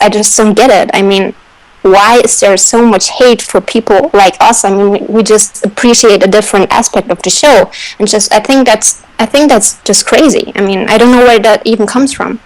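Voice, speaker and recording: A woman's voice: medium pitch, fairly even intonation, normal speed, no echo, low-quality recording. A woman talking casually and emphatically as a panelist in a fan discussion. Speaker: radio show panelist.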